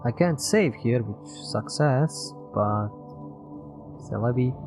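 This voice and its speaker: A man's voice: mid-range voice, lively intonation, takes it slowly. A man giving casual live video game commentary as a streamer, thinking aloud, muttering to himself and swearing freely. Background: music.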